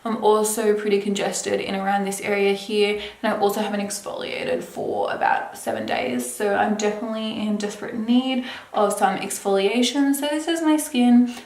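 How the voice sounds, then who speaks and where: A woman's voice: mid-range voice, normal speed, darkish tone, reverberant. A young adult woman casually reviewing a skincare product, chatty, with plenty of fillers. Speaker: beauty vlogger.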